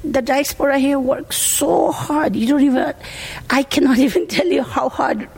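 Woman from India, restaurant owner, earnest interview, slightly high voice. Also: moderate pace, vocal fry, darkish tone. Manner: earnest, heartfelt, speaks with hesitations.

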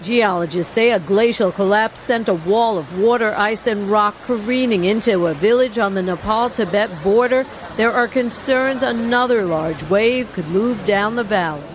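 A woman reading broadcast news in a crisp newsreader delivery. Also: mid-range voice, moderate pace, hardly any pauses, slight background noise. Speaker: news anchor.

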